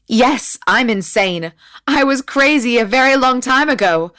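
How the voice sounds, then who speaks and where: A woman's voice: a little high, expressive, measured pace, a bit bright, no echo, voice pushed up. A wronged, bitter wife in an audiobook romance, acted out by a woman. She is emotional and accusing, desperate yet defiant, and the recording is close and dry. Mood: bitter fury. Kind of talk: audiobook character acting.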